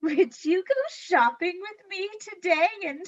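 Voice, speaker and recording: A woman's voice: very high voice, lively intonation, unhurried. An actress playing a bashful, naive, lovesick young woman in an old-time radio comedy, timid and plaintive, on slightly dull audio. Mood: pleading.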